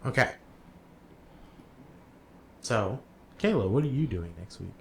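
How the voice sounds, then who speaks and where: A man co-hosting a podcast, chatting casually and quick to protest when teased. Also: medium pitch, sing-song, vocal fry, slight background noise. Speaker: podcast co-host. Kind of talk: casual chat.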